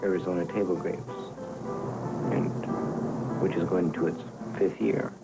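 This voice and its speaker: Very deep-voiced man over music. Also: a little slow, gravelly, low-quality recording. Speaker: boycott coordinator and organizer. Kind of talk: reflective documentary interview talk.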